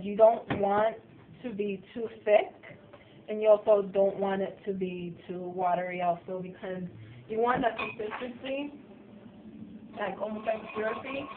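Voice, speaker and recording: A slightly hoarse woman chatting loosely through a cooking demonstration in her home kitchen. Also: mid-range voice. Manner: chatty, loose, with fillers and restarts. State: slightly hoarse, getting sick. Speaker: cooking show host. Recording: distant, tinny and noisy home video.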